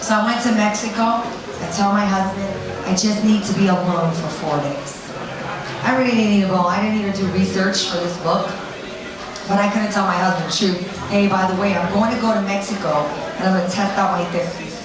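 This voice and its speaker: A woman's voice: medium pitch, steady tone, brisk, a crowd in the background. A woman giving an informal seminar talk, blunt and conversational, telling anecdotes and swearing freely. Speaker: seminar speaker. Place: seminar room with an audience. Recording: very echoey room, distant mic, audience noise.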